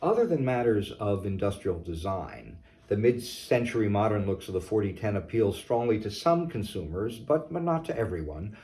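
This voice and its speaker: A man's voice: fairly low pitch, very animated, moderate pace, hardly any pauses, dark tone. A man asking formal, carefully worded questions as an interviewer, recorded slightly away from the microphone. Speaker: interviewer, audio journalist. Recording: slightly distant from the mic.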